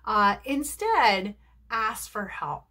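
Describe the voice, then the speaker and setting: A woman's voice: fairly high pitch, big pitch swings, drawn-out words, bright, forceful tone. Chatty American woman teaching English. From New Jersey, United States. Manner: chatty, encouraging, asks rhetorical questions. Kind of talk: video lesson to camera.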